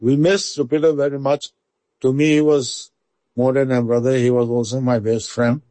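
A man's voice: mid-range voice, level tone, moderate pace, mellow, dry recording. Indian accent man remembering, mid voice, dry close mic. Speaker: interviewee. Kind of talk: recorded remembrance.

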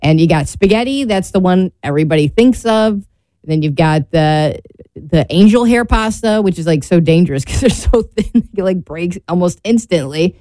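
An American woman chats informally as a talk show co-host. Her voice is slightly low and mellow, with a very dry studio sound. She comes across as playful and conversational. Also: animated, normal speed, dry recording. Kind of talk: casual, playful chat.

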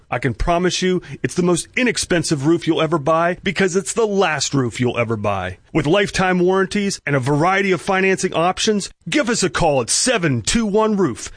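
High-pitched, animated man in commercial. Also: no room sound, clean studio sound. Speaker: advertiser. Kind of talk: radio commercial read.

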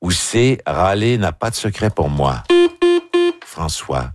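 A man's voice: deep voice, animated, takes it slowly, loud music behind the voice. A man hosting a radio show, running a playful quiz and joking with his panel. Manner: jokey, laughs often. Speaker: radio host.

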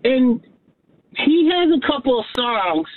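A man's voice: extremely high pitch, big pitch swings, takes it slowly. A man in his fifties calling in to a radio show, chatty and enthusiastic. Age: 50s. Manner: enthusiastic, chatty, tells stories. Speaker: caller. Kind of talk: phone-in chat. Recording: tinny phone line.

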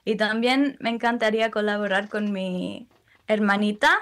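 A young woman, a musician answering interview questions in a soft, thoughtful way with frequent pauses. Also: mid-range voice, fairly even intonation. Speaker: musician guest.

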